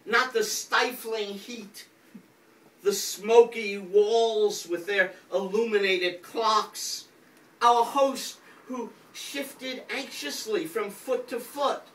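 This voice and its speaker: An older man reads as a poet at a live event, with a bright, projecting voice and a wry manner. He takes his time over the words. He is heard from back in a small, echoey room. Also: high voice, steady tone, slow pace. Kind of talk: live poetry reading with wry introduction. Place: small crowded indoor venue, on stage. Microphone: distant camera from the audience. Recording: roomy, distant audience recording with background noise.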